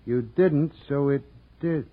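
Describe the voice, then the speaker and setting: A man playing a gruff, exasperated police sergeant in a 1940s radio drama, grumbling and sardonic. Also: medium pitch, takes it slowly, lightly acted. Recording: dull old radio recording.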